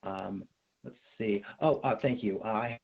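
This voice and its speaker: An American man hosting a formal question-and-answer conference call, speaking in measured official phrasing with some hesitation over video call audio. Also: fairly low pitch, creaky, muffled recording.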